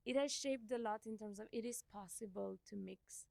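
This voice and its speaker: Young woman guest from india, gujarati first language, gravelly voice, dry close sound, thinks out loud. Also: medium pitch, normal speed, no room sound. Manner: reflective, thinks aloud with soft laughs. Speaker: podcast guest, company CEO. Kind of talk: casual interview conversation.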